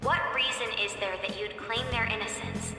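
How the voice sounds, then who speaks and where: An earnest young female interviewer presses with formal, insistent questions. Her video game delivery swings widely in pitch, heard in a very reverberant hall with music behind. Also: wildly swooping pitch, normal speed, creaky. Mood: pressing.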